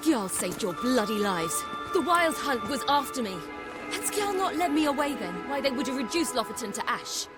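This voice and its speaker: Proud young female warrior, British accent, fairly high pitch, intense, fiercely loyal, video game drama, background music. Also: big pitch swings, normal speed. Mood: defiant. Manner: earnest, intense.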